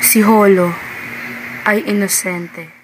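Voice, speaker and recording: A woman's voice: mid-range voice, steady tone, slow pace, very noisy. A woman with a Filipino accent giving dramatic scripted Tagalog narration as a radio drama host.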